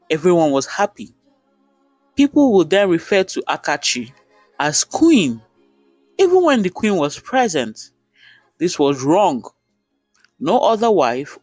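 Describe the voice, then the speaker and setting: Lively high-pitched Nigerian male storyteller. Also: no room sound. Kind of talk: narrated folktale storytelling.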